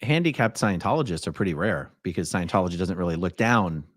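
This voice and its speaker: Male interview host, low voice with lively pitch, dry asides, close and dry sound. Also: fast, no echo. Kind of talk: interview questions.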